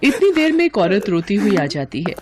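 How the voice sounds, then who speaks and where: A woman's voice: medium pitch, big pitch swings, moderate pace, a little mellow, a little hiss or hum. A woman reading scripted, dramatic story narration.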